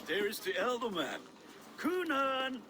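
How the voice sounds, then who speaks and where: An actor playing a boisterous, playful, lovestruck Viking blacksmith in a video game, with a very animated delivery. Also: male voice, very high-pitched, measured pace, exaggerated voice. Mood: cheerful, eager. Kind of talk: dramatic video game acting. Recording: noisy outdoor ambience behind voice.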